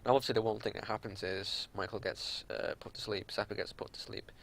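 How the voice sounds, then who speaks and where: A man's voice: fairly low pitch, fairly even intonation, a little fast, vocal fry, a bit bright. A man giving unscripted game analysis as a raid leader, explaining things in an exasperated, matter-of-fact way. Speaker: raid leader reviewing gameplay.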